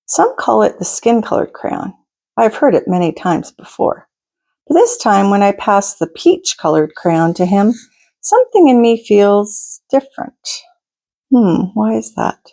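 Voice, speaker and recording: A woman reading a picture book aloud to children as a storytime host, in a deliberate, expressive manner with asides to her listeners. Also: medium pitch, gravelly. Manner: deliberate, expressive, pauses to ask listeners questions.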